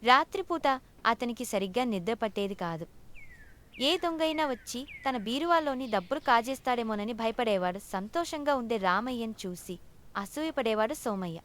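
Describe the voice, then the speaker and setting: A woman's voice: a little high, lively intonation, moderate pace, fairly bright tone, a little hiss or hum. A woman narrating a scripted moral story for children as a storyteller.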